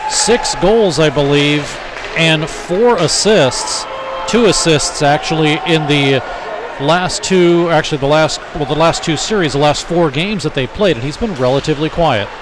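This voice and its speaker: A man calling a live college hockey game, chatting with co-hosts and rising in excitement on shots. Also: on the high side, noisy background. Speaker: play-by-play announcer. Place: ice arena broadcast booth. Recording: loud arena crowd and music.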